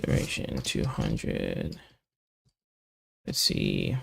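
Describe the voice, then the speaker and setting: A man on a casual coding livestream, thinking aloud in halting, muttered fragments as he works. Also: a bass voice, huge pitch swings, gravelly, a bit bright, husky. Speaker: developer live-streaming coding.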